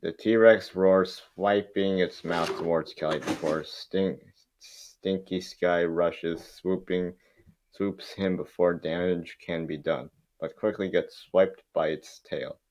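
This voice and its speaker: A man with a low voice reads out scripted stage directions. His reading is amateur and stumbles over the wording. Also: steady tone, slow, darkish tone. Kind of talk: scripted narration in an amateur table read.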